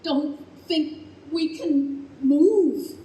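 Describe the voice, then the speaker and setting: Middle-aged wife acted by a woman, high mellow voice, dry chiding affection, radio play style, roomy faintly noisy recording. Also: drawn-out words. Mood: alarmed. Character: frank, teasing, loving middle-aged free-spirited wife. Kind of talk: live old-time radio play acting. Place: live stage at a convention.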